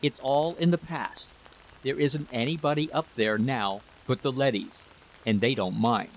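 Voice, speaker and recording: A man's voice: mid-range voice, a little slow, a little hiss or hum, natural voice, poor audio. A man voicing a proud, irritable husband in a story, complacent and brushing off worries. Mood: reassuring. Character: dismissive war-technician husband, proud and irritable.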